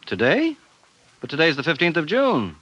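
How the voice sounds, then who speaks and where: Jittery radio-drama husband and office worker, bright forceful voice, lively swings in pitch. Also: male voice, moderate pace, highs cut off, heavily acted. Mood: puzzled. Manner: excitable, exclamatory. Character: jittery, bewildered ordinary office man, an excitable husband. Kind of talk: 1950s radio acting.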